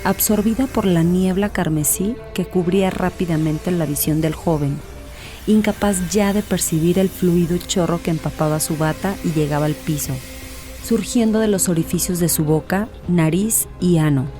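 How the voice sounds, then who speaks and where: A woman narrates a literary horror story. Her voice is fairly low, with a dark, mellow color. Faint music sits underneath the reading. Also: moderate pace.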